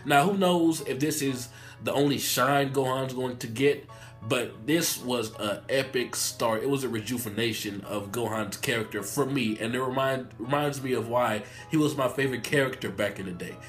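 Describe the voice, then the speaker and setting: A man with a cold talks through a stuffy nose, mid-pitched and fired up as he rambles through an anime review full of swearing. Faint music plays quietly behind him. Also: moderate pace. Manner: hyped, profane, rambling. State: sick, nasal. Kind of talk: excited casual review vlog.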